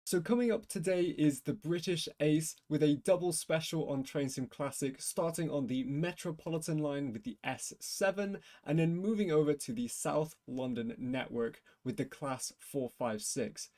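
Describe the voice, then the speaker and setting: A man presenting a brisk, scripted promotional announcement for his channel. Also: fairly high pitch, mellow.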